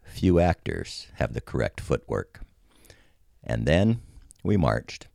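An older American man with an extremely low, creaky voice reminisces like a podcast storyteller. He speaks in a measured, conversational way, and the sound is close and dry. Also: sing-song, mellow, no echo. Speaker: storyteller, army veteran. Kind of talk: personal reminiscence, podcast narration.

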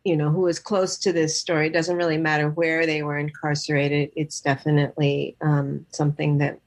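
A woman film director speaking in a podcast interview, talking thoughtfully with many pauses, fillers and restarts. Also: deep voice, flat delivery. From Los Angeles.

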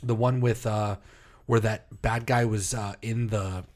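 Laid-back rambling man with a lowish voice. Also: steady tone, a little slow, darkish tone. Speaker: podcast co-host. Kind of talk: casual chat.

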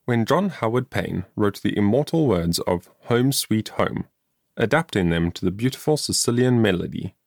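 Male audiobook narrator, lowish voice, formal and earnest scripted reading, very little room sound. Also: gravelly, darkish tone, no room sound, clean studio sound. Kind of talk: scripted audiobook narration of an old-fashioned moral essay.

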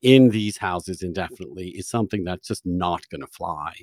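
A man's voice: low-pitched, fairly quick, darkish tone, no echo, clean studio sound. A man hosting an interview, pressing his guest with skeptical, challenging questions. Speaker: podcast host, interviewer.

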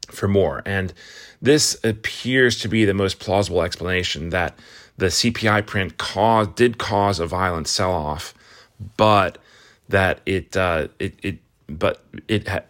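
A man with a very low voice talks through the morning's market news in a loose, conversational way. He often stops and restarts his sentences and fills gaps with ums. His voice is slightly hoarse from a cold. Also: fairly bright tone. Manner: conversational, halting with restarts and fillers. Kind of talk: casual morning market rundown.

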